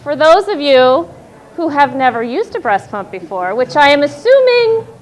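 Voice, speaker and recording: A woman in her thirties telling a wry, self-deprecating story on stage at a live storytelling night, in a loud voice. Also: high-pitched, very animated, moderate pace, low-quality recording.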